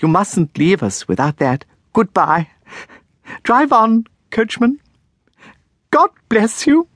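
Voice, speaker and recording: A man's voice: sing-song, slow, a bit bright, no room sound, studio quality, heavily acted. A man putting on a fluttery, flustered old schoolmistress. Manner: fluttering, flustered, high-pitched. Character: kindly fussy older spinster schoolmistress.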